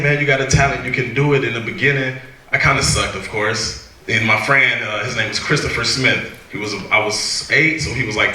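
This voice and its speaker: A man from Cleveland, Ohio, telling his story in a casual, fast and laughing way as a guest speaker to students. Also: medium pitch, sharp tone. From Cleveland, Ohio, USA. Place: university event hall. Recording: echoey hall, mic from a distance.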